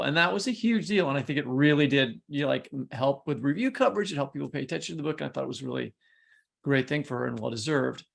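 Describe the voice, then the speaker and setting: A man's voice: fairly high pitch, vocal fry. A man co-hosting a podcast, talking casually and informally with blunt, opinionated delivery. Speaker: writer and podcast co-host.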